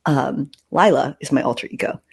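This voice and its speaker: A woman's voice: very deep voice, moderate pace, creaky, slightly rough. A middle-aged woman hosting a podcast, telling personal stories in a candid, informal way with some swearing. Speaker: podcast host. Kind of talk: casual personal storytelling.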